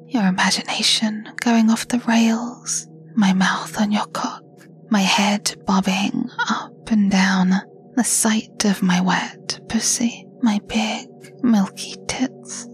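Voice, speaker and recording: Slow whispering woman, teasing tone. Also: mid-range voice. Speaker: erotic audio performer. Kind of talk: scripted intimate roleplay monologue.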